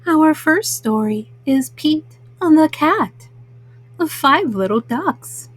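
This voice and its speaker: A woman storyteller reading a children's picture book at bedtime, slowly and simply, as a mama to young listeners. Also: sits high, lively intonation. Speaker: storyteller host.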